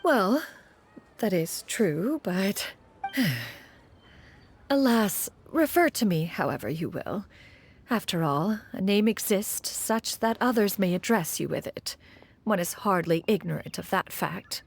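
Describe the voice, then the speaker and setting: A woman's voice: medium pitch, expressive, slow, gravelly, breathy edge, exaggerated voice. A woman voicing an ancient crane spirit in the form of a stately old woman, speaking in a formal, archaic, haughty way.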